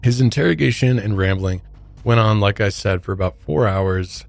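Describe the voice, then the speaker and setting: A low-pitched American man hosts a true crime podcast. He moves between narration and conversational commentary with a disapproving edge. The recording is close and dry. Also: normal speed, vocal fry, no echo. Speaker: podcast host.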